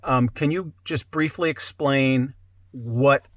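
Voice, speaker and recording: A man's voice: mid-range voice, takes it slowly, fairly bright tone, dry recording. Man hosting a podcast, very dry sound. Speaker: podcast host. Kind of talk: conversational interview.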